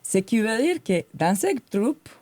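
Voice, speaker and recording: A woman's voice: mid-range voice, big pitch swings, a little slow, a little mellow, dry recording. Earnest Colombian woman in her forties. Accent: Colombian Spanish. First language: Spanish. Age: 40s. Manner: earnest and heartfelt. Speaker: podcast co-host. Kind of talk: podcast talk from prepared notes.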